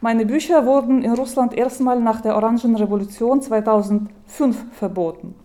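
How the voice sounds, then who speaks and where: A woman giving a lecture, speaking in a measured way, with hesitations and restarts, and reading quotations aloud. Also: a little high, dark tone.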